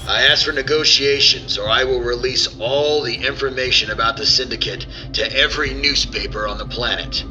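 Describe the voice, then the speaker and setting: A man's voice: medium pitch, normal speed, hardly any pauses, bright, forceful tone, faint music behind, poor audio. A young man playing a commanding, calculating mastermind in an old-time radio drama. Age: young adult. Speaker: student actor. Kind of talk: 1940s radio drama acting.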